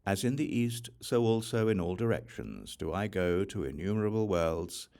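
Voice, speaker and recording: A man reads a sacred text aloud as an audiobook, in measured, solemn phrases with deliberate pauses. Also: deep voice, lively intonation, dark tone, clean tone. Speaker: audiobook reader of scripture. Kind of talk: scripted reading of a Buddhist sutra.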